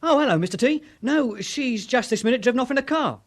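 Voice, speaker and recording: A woman acting in a British radio drama as a young, excitable, dutiful household servant. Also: on the high side, fast talker. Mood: polite, chirpy.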